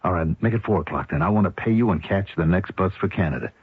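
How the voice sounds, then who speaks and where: Deep, husky small-time con man. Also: quick pace, no echo. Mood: brisk, scheming. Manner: clipped, streetwise slang. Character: slick, bossy small-time con man, sly and double-crossing. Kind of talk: 1970s radio drama acting. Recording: tinny, band-limited old radio recording.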